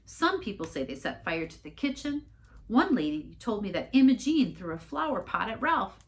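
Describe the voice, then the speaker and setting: Woman voicing a bewildered, fretful minister, lively intonation. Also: mid-range voice, moderate pace, pitched above the performer's own voice. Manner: fretful, perplexed. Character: bewildered, worried male church minister.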